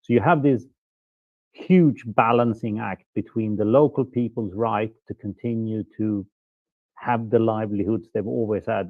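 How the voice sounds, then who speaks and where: A man with a low voice asks long, measured questions at a panel discussion. His sound is dry and narrow, as if over a phone line. He speaks courteously and builds his point slowly. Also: dry recording. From Sweden. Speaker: panel guest asking questions.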